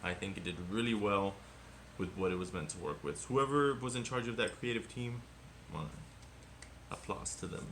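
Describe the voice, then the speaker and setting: A man co-hosting a casual movie review podcast, talking in an animated, rambling way and swearing often. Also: fairly low pitch, normal speed, slight background noise. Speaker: podcast co-host.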